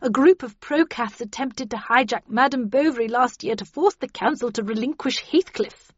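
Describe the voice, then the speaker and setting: A woman's voice: a little high, normal speed, husky, dry recording, muffled recording, pitched above the performer's own voice. A woman voicing a stern, commanding older woman with a brusque, grim and impatient manner in a narrated storybook.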